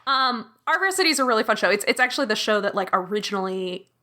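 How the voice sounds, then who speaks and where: A woman's voice: mid-range voice, lively intonation, quick pace, bright, forceful tone. A woman chatting as a podcast guest, bubbly and laughing, her talk full of fillers. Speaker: podcast guest, audio drama producer. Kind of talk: casual chat.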